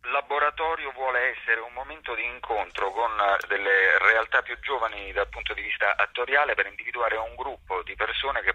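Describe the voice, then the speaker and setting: A man giving explanatory interview answers in a halting, thoughtful way, searching for his phrasing as he goes. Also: medium pitch, pushed and bright, no room sound, lo-fi sound. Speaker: actor and workshop teacher being interviewed.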